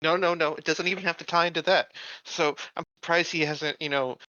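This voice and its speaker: A man's voice: fairly high pitch, fairly even intonation, fairly quick, pushed and bright, no echo, dull audio. A man taking part in a tabletop roleplaying game, chatting in a casual, joking way.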